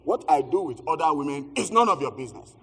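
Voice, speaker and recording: A man's voice: fairly high pitch, sing-song, fast talker, husky, exaggerated voice. Actor as a blustering, flirtatious West African man. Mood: insistent. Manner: theatrical, exclaiming, sweet-talking. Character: blustering, flirtatious married man and shady drug dealer. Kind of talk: stage comedy-drama acting. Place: theatre stage. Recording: echoey theatre hall, distant stage sound.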